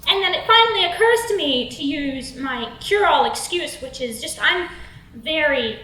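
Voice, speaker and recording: A woman's voice: high-pitched, animated, normal speed, pushed and bright. A young woman playing a chatty stand-up comedian, speaking conversationally in an echoey room. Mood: animated, amused. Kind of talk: conversational storytelling and dialogue. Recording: echoey room, faint noise.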